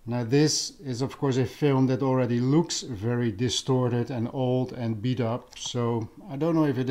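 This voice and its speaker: A man with a Dutch accent talks in a relaxed, soft-edged tone. His pace is slow, and he often searches for the next word. It is casual, off-the-cuff vlog commentary from someone at home. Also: mid-range voice. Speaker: vlogger, audio enthusiast. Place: living room at home.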